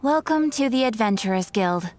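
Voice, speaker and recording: A woman voicing a courteous, composed guild receptionist in an anime game, speaking in a polite, formal, measured way. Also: fairly high pitch, expressive, darkish tone, lightly acted.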